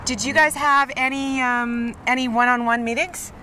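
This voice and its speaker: A woman's voice: high-pitched, fairly even intonation, moderate pace, pushed and bright. A woman interviewing in a casual, chatty way. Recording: off-mic, faint street noise.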